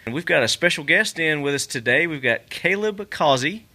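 A man's voice: on the high side, expressive, moderate pace, bright, forceful tone. A man hosting a podcast at a trade show, upbeat and enthusiastic as he interviews a guest. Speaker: podcast host. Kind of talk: casual interview.

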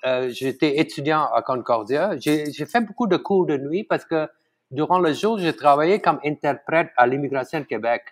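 A middle-aged man from Malaysia with a Malaysian accent, whose first language is Tamil, speaking in an interview as a guest chef. He talks haltingly, with many fillers and restarts, and chuckles often. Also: fairly high pitch, expressive. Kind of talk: casual conversational interview.

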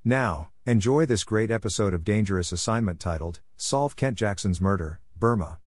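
A man's voice: fairly low pitch, animated, moderate pace, dry recording. A man reading a scripted introduction as host of a podcast.

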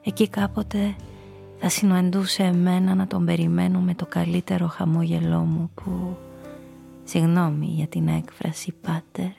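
An actress playing a cynical, seductive femme fatale, confessing her story in an intimate, breathy way in a noir radio play. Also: fairly low pitch, warm tone. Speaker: narrator and lead character.

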